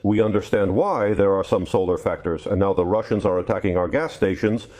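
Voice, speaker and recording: A man in a played clip making a measured official statement. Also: low voice, a little mellow.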